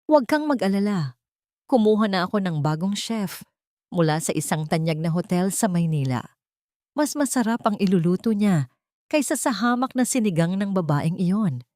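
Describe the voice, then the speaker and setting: A woman's voice: mid-range voice, lively intonation, normal speed, darkish tone, no echo, lightly acted. This is a haughty older matriarch from an audio drama, voiced by a woman narrator. She is smug, scheming and dismissive of those beneath her. The sound is dry and close. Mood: smug, scornful.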